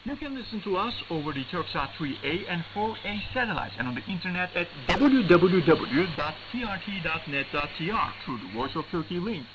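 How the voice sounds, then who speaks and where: A man's voice: high-pitched, sing-song, brisk, hardly any pauses, a crowd in the background. A man reading a scripted station announcement as a radio announcer, heard over shortwave radio. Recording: noisy shortwave radio reception. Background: static and radio noise.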